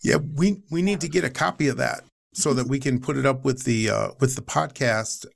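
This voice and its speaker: A man's voice: medium pitch, a little fast, creaky. Guy hosting a podcast, earnest and rambly, chuckles a lot. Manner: earnest, rambling, laughs often. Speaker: podcast host. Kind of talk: casual podcast conversation.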